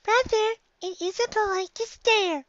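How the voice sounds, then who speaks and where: A gentle, reluctant young boy, put on by a performer for a comic story reading. The pitch is extremely high and childlike. The sound is dry and a little dull. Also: slow pace, no echo, full character voice. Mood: gentle scolding.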